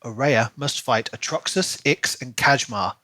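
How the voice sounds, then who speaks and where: A man with a medium-pitched, bright voice co-hosts a book podcast. He talks listeners through the story, and the sound is very dry, with hardly any room on it. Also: a little slow, no echo. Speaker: podcast co-host. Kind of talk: casual book chat and reading a written plot recap.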